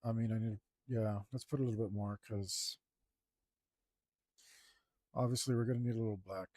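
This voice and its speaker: A man talking casually to himself as he works on a digital drawing, mumbling in a relaxed way. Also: fairly low pitch, normal speed, no room sound, clean studio sound. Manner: mumbling to himself, laid-back. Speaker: digital artist. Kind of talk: casual talk while drawing.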